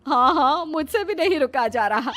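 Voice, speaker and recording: A woman's voice: high-pitched, expressive, moderate pace, noisy background, pitched above the performer's own voice. A woman voicing an older village mother-in-law in a cartoon story, teasing, emphatic and scolding. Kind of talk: cartoon moral story voice acting.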